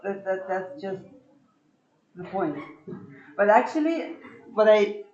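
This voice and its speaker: A woman speaking about poetry translation in a panel discussion, halting and repeating words, laughing between points. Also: medium pitch, animated, a little mellow, muffled recording. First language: neither Hindi nor Hebrew. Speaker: guest lecturer and translator.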